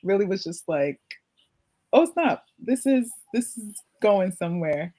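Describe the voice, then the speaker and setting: A woman's voice: mid-range voice, measured pace. A woman guest in a podcast interview, speaking casually and expressively, laughing as she tells her story. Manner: casual, expressive, laughs while telling stories. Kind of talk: conversational interview.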